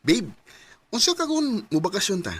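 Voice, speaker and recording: Man acting high, husky boyfriend. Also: very animated, normal speed, fairly bright tone, soft background music, heavily acted. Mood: cheerful, casual. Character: breezy, eager boyfriend planning a holiday. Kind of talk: casual acted skit.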